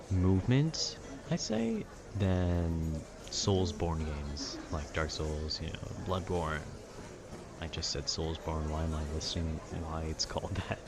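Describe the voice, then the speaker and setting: A man with a very deep voice rambles in a casual, conversational way. He sounds nerdy and meanders from thought to thought like a gamer chatting. Clear ambient noise runs behind his voice. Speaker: content creator. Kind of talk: casual rambling monologue about video games.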